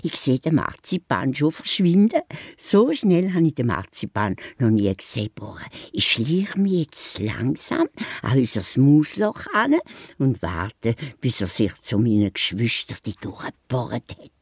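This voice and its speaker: Lively Swiss German magic mouse. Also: female voice, extremely low pitch, a little slow, husky, dry recording, studio quality, heavily acted. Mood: anxious, sneaky. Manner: theatrical, addresses listeners like a showman. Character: lively, bossy, excitable little magic mouse. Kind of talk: children's radio play acting.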